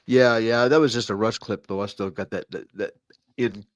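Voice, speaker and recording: A man telling stories in casual chat, self-deprecating and halting, with many restarts and fillers. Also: fairly low pitch, fairly even intonation, no room sound, clean studio sound. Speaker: co-host.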